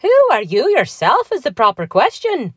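Woman voicing a feisty, high-pitched little man. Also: wildly swooping pitch, normal speed, husky, dry recording, clean studio sound, exaggerated voice. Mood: indignant, challenging. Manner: proud, scolding, formal old-fashioned turns of phrase.

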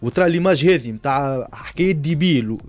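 A man's voice: on the high side, lively intonation, fast talker, no room sound. A man with a Tunisian accent chatting as a comedian guest, repeating phrases for emphasis and mixing in French. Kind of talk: casual interview chat. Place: radio studio. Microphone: close studio microphone on arm.

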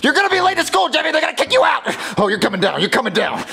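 Man acting an exasperated, high-voiced, creaky cartoonish dad. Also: sing-song, racing, sharp tone, heavily acted. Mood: angry, scolding. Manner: pleading, nagging, loses his temper. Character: exasperated, scolding father of a naughty boy. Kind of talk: comedy puppet skit acting.

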